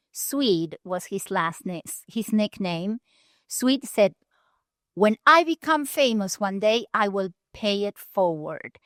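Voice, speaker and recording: A woman from Argentina, a nonprofit leader telling her story in an earnest, measured way with deliberate pauses. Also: mid-range voice, fairly bright tone, dry recording. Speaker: guest, nonprofit CEO. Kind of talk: storytelling interview answers. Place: conference venue.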